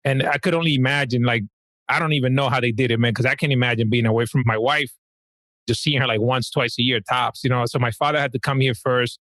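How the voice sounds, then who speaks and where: A man from the Dominican Republic, a guest on a podcast, telling his story in an easygoing, warm way. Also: mid-range voice, steady tone, fast, no room sound. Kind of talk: casual interview chat.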